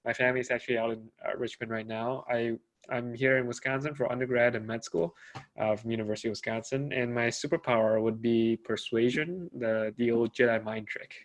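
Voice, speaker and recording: A man's voice: mid-range voice, steady tone, brisk. A young man originally from Taiwan introduces himself on a video call. He sounds polite and easygoing, with a mid-range voice. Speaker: medical student. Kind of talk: casual self-introduction.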